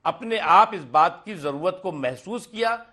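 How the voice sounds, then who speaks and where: A man giving off-the-cuff political remarks in a colloquial, halting way, often repeating words. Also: sits high, expressive, sharp tone.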